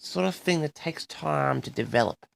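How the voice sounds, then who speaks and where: A man hosting an audio log, rambling in a halting solo monologue with frequent pauses, addressing his audience directly. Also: a little high, gravelly. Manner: halting, pausing often, repeatedly addresses the audience.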